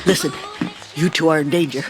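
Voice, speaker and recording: A woman's voice: low-pitched, fairly even intonation, brisk, over music, lightly acted. An actress in an audio play as a blunt, intense Chinese cousin, a weary factory worker who warns her relatives in an urgent, bossy way. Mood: urgent warning.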